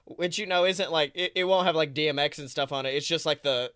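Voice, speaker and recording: Male podcast host, bright sound in a dry recording, chatty, jokey, theatrical delivery. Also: fairly high pitch, brisk, clear, resonant tone, dry recording. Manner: excitable, jokey, theatrical emphasis. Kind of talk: casual chatty pop-culture banter.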